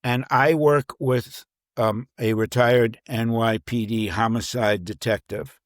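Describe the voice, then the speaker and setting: A man talking casually as an author being interviewed, working through his thoughts aloud in halting pieces. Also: medium pitch, steady tone, dry recording, studio quality. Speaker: crime thriller novelist, interview guest.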